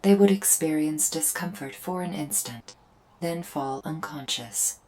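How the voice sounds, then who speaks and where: A woman's voice: sits low, animated, a little slow, darkish tone, poor audio. A woman playing a remorseful alien invader in an ASMR roleplay, speaking in formal, precise, stilted phrases. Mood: earnest, apologetic. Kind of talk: scripted ASMR roleplay to the listener.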